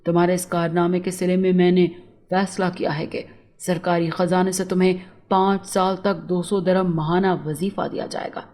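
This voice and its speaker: Woman voicing a grave, low-pitched kindly judge. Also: level tone, a little mellow, natural voice. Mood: solemn, approving. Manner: formal, measured pronouncements. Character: grave, kindly male judge, benevolent and authoritative. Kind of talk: storybook character dialogue.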